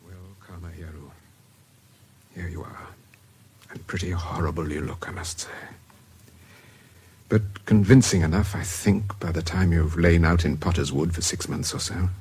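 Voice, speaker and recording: An actor playing a mild, secretive middle-aged gentleman with an educated British accent in a radio drama. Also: low voice, moderate pace, long pauses, husky. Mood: quietly scheming. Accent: educated British English. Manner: hesitant, polite, furtive. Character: mild, secretive grey-haired gentleman schemer.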